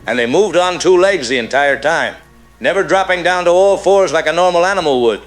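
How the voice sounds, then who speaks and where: A man playing a shaken rural cattle rancher, gravely telling his story as a caller in a radio drama. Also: fairly high pitch, lively intonation, brisk, soft background music, natural voice.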